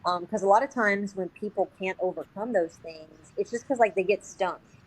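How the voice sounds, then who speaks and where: A woman guesting on a podcast, talking informally with lots of "like" and quick quoted examples. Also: mid-range voice, husky, poor audio. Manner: informal, heavy use of "like", acts out short quotes. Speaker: sales agent, podcast guest. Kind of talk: casual podcast interview.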